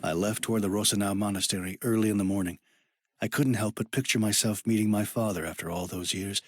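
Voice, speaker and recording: An actor playing a troubled, grieving brother in a video game, speaking in an earnest, emphatic way. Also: male voice, fairly low pitch, steady tone, fast, no room sound, lightly acted. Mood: somber, reflective. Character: troubled adult man, grieving brother, agitated and insistent. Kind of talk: dramatic game voice acting with narration.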